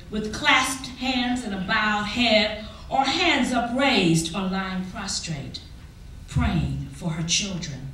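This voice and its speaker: Female poetry reader, expressive and widely varied in pitch, unhurried dramatic delivery, recorded distantly in a reverberant hall. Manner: expressive, deliberate, with drawn-out pauses. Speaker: poetry reader at a church performance. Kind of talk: dramatic spoken-word poetry recitation. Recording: echoey hall, distant mic, faint noise.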